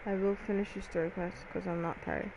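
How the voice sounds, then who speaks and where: A woman's voice: medium pitch, level tone, brisk. A woman giving brief, casual reactions as she plays a video game. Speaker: gaming streamer. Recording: home mic with clear background noise.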